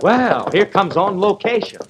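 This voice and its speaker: A cocky, flirtatious racehorse for vintage radio comedy. His voice is high, with a needling manner, on a noisy 1930s recording. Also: big pitch swings, a little slow. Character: cocky, flirtatious male racehorse with curly mane.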